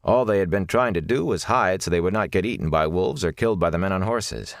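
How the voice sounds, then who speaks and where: A man's voice: deep voice, a little fast, gravelly, no room sound, studio quality. A man reading fantasy fiction as a scripted, dramatic audiobook narration.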